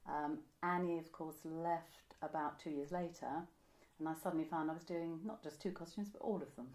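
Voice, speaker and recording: Low-pitched older Englishwoman reminiscing. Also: fairly even intonation, normal speed, darkish tone. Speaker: interviewee, pantomime costume maker. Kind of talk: conversational reminiscence in an interview. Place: indoors, room by a window.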